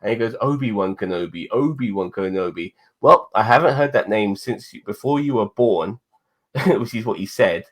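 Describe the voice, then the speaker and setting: A man in his forties talks in a casual, rambling way, dropping swear words freely. His voice sits a little low for a man. He keeps going in long, loose runs of thought. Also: expressive, normal speed. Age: 40s. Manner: rambling and profane, swearing often. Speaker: podcast co-host. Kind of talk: casual chat.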